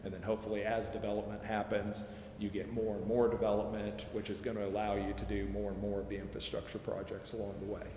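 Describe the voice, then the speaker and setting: A man giving a measured, methodical explanation at a public meeting, answering questions with careful qualifiers. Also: fairly low pitch, flat delivery, warm tone. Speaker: presenter answering committee questions on project financing. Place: large echoey council chamber. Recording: echoey hall reverb, clear background noise.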